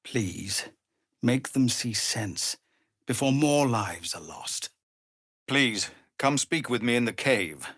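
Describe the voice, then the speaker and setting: An actor playing a weary, dutiful tiefling ex-soldier who leads refugees in a video game, speaking in a grave, measured, earnest way. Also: male voice, mid-range voice, expressive, fairly bright tone, dry recording, clean studio sound. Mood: earnest, pleading. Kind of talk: dramatic video game character acting.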